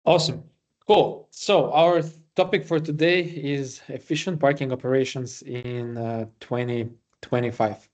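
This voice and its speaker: Latvian guy hosting a webinar, soft mellow voice, informal, pauses a fair bit. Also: medium pitch, steady tone. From Riga, Latvia. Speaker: webinar host and presenter. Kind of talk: semi-scripted webinar presentation.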